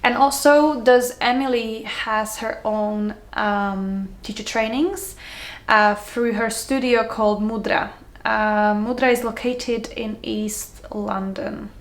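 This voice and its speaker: A woman's voice: a little high, steady tone, slow pace, echoey room. A young woman from Slovakia with a mixed Slovak-Hungarian accent, chatting to camera in a casual, rambling way, full of ums, restarts and easy laughs. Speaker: yoga teacher vlogger.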